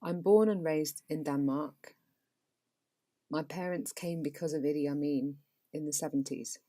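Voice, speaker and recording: Low-voiced woman with an RP accent. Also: measured pace. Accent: Received Pronunciation English. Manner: flat, even delivery with frequent ums. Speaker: podcast host. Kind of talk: casual solo podcast talk and plain reading aloud.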